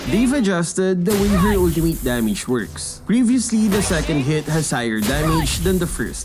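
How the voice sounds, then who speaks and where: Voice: medium pitch, very animated, moderate pace, music in the background. A gaming channel host reading scripted patch notes as a voice-over.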